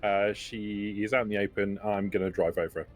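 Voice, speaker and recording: A man's voice: on the low side, little pitch movement, fairly quick, faint music behind. A man talking casually as a player in a tabletop role-playing game.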